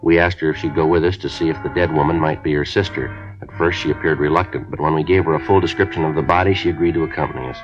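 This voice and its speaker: Deadpan police sergeant, very deep voice, brisk clipped delivery, dull lo-fi old radio sound. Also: male voice, music in the background. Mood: matter-of-fact. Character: terse, matter-of-fact male police sergeant. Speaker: police detective narrator. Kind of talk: 1950s radio drama acting. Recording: dull lo-fi 1950s radio broadcast.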